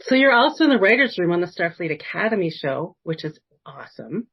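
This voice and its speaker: A woman's voice: on the low side, very animated, fairly quick, vocal fry, husky. A woman interviewing a guest in a casual, conversational style. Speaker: podcast interviewer. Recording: dull lo-fi audio.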